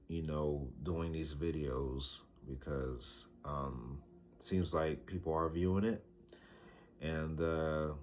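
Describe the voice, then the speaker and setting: A man in his early thirties talking to a camera, giving casual life advice in a slow, reflective way, often repeating himself with fillers and restarts. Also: rumbling low. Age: 30s. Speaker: vlogger.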